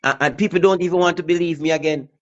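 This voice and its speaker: A man's voice: on the high side, very fast, dull audio. A man with a Jamaican accent, a pastor calling in, speaking earnestly and emphatically. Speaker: caller, pastor. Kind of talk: call-in conversation.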